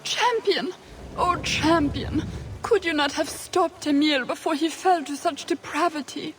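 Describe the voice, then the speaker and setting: Casting: imploring, theatrical game noblewoman, very high-pitched and plaintive, over music. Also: unhurried. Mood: distraught. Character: melodramatic, plaintive noblewoman party guest. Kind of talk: video game cutscene acting.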